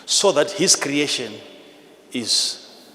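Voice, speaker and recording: A man with a Zimbabwean accent preaching a sermon in an emphatic, repetitive, teacherly manner, swinging between hushed and loud delivery. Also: medium pitch, animated, extremely slow. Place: large church hall with PA. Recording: live in a large reverberant hall, noisy.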